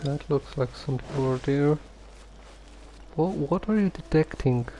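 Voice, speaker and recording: A man giving casual livestream commentary on a game, halting and musing to himself. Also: on the high side, dark tone, a little hiss or hum, low-quality recording. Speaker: game streamer.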